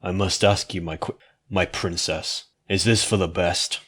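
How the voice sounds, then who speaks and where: A man voicing a humble, sombre male pony agent with a quiet, deferential mutter, in a story reading. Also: low-pitched, fairly even intonation, measured pace, breathy edge, heavily acted. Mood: solemn, devoted. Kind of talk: dramatic fan fiction reading.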